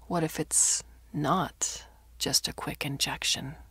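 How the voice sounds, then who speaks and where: A woman voicing an elderly dying man, wry and a little anxious, in a hushed murmur for a short-story reading. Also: sits low, fairly even intonation, slow, slightly rough, no echo, exaggerated voice. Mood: secretive.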